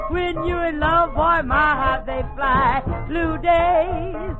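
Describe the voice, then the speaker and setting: Loud band music, woman singer, very high voice, drawn-out phrasing, swing jazz. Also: poor audio. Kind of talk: swing jazz singing of a standard. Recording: loud band music over vintage radio. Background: loud music with guitar and instruments.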